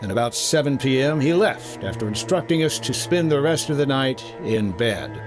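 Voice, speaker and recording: An American man playing a brisk, wry insurance investigator in a 1950s-style detective radio drama, with a clipped, dry delivery. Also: mid-range voice, animated, music in the background, natural voice. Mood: dry, matter-of-fact. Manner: clipped, dry, hard-boiled. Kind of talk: 1950s detective radio drama acting, first-person case narration.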